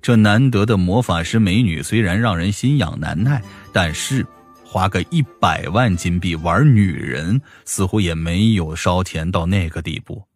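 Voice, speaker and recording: A man's voice: low-pitched, normal speed, no echo. A man narrating a scripted audiobook story. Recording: music behind the voice.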